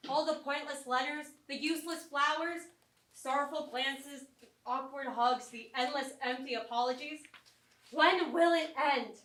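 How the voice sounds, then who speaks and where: A woman's voice: high-pitched, measured pace, fairly bright tone, far from the mic. A woman playing a grieving, bitter mother in a staged reading of a play, with a dramatic, accusatory delivery. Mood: weary, pained. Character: grieving, bitter mother lashing out at her husband. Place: small theatre, live stage performance. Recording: echoey theatre, picked up from afar.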